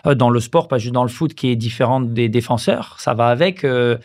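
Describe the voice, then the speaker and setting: A man in his thirties from France, a former footballer reflecting on his career in a casual podcast interview, pausing often with hesitations and restarts. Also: mid-range voice, a little mellow.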